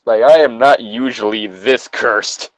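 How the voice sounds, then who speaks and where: A man's voice: mid-range voice, unhurried, no room sound, poor audio. A man co-hosting in casual banter, reading a story aloud and mocking it with wry, crude jokes. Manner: wry, irreverent, crude joking. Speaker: host of a comedic fan-fiction reading.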